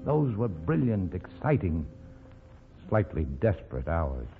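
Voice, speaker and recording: A man's voice: sits low, very animated, measured pace, creaky, heavily acted. An actor playing a doomed, tender, melancholy leading man in a 1940s radio romance. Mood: wistful. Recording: old phone-like radio recording, faint music.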